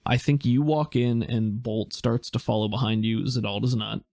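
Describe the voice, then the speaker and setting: A man narrating as game master in a casual tabletop session, relaxed and hesitant, thinking aloud with many fillers. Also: mid-range voice, normal speed, dry recording, clean studio sound.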